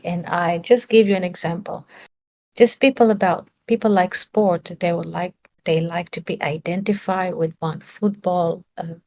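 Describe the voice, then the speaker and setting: A woman's voice: fairly low pitch, a little mellow. Woman giving a searching spiritual talk. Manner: searching for words, rhetorical questions. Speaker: spiritual teacher.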